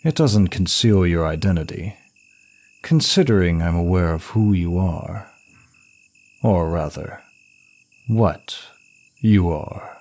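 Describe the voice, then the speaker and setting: Casting: male actor for an ancient lust demon in ASMR roleplay, low register, unhurried, sultry and teasing. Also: darkish tone, no room sound, exaggerated voice. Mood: mocking, amused. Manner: slow, sultry, lingering delivery. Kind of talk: intimate ASMR roleplay acting addressed to listener.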